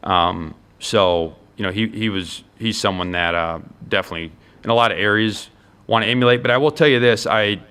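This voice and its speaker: A man's voice: lowish voice, brisk, bright, forceful tone, clean tone. An American man, a college football head coach, speaking earnestly and emphatically in a conversational interview, laying out his points one by one.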